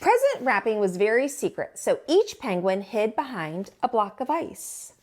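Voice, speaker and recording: A woman narrating a children's storybook as a storytime teacher, in an expressive read-aloud style. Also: fairly high pitch, moderate pace.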